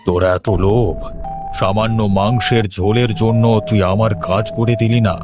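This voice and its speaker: A man's voice: on the low side, steady tone, moderate pace, music in the background, close to an everyday voice. A man playing a stern, scheming middle-aged father and occultist in a horror cartoon, with a commanding, menacing manner. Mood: menacing, demanding. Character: stern, scheming father who raises ghosts by occult practice. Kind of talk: Bengali horror cartoon voice acting.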